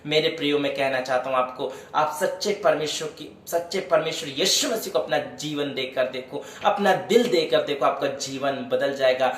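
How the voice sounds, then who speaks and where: A man's voice: a little high, moderate pace, hardly any pauses, echoey room, slight background noise. A man preaching a devotional sermon, fervently urging his beloved listeners and then praying aloud for healing. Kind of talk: sermon with worship singing and prayer.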